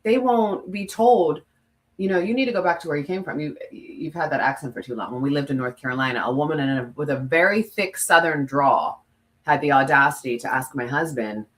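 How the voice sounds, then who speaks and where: A woman's voice: low-pitched, fairly quick. A woman co-hosting a podcast, telling stories emphatically in candid, conversational talk and often quoting herself and others. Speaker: podcast co-host, former TV news presenter.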